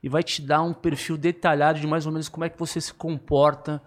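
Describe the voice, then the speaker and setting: A man's voice: on the high side, little pitch movement, fast. A man co-hosting a podcast, talking in an upbeat, informal and promotional way. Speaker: podcast co-host. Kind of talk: casual podcast chat.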